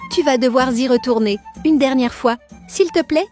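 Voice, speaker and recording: A woman's voice: high-pitched, normal speed, a little mellow, soft background music, natural voice. A woman playing a fussy, greedy mother in a children's fairy-tale cartoon, with theatrical delivery. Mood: coaxing. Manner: theatrical, scolding, coaxing.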